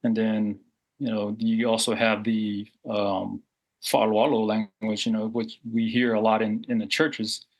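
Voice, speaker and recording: A low-pitched man in his forties, born and raised in Samoa, speaking in a candid interview. He talks earnestly and reflectively, circling back with fillers and restarts as he thinks aloud. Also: level tone, measured pace, poor audio. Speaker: guest.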